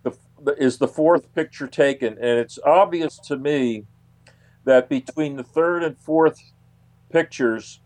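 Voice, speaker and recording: A man explaining as an interview guest, unscripted and halting, searching for words and digressing as he goes. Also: medium pitch, a little mellow.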